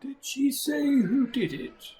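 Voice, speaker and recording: A performer voicing an elderly male wizard headmaster in a fan audio drama, speaking formally and deliberately with calm authority. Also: high voice, soft background music. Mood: grave, commanding.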